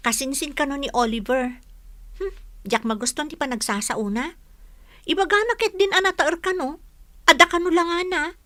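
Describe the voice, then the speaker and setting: Casting: actress for high-voiced, lively young village woman, best-friend role in an Ilocano radio comedy. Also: moderate pace, long pauses, no echo. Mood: animated, teasing.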